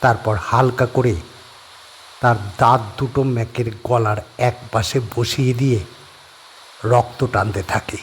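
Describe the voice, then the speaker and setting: A male narrator reading a scripted horror story in a steady, descriptive way. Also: medium pitch, unhurried, slight background noise.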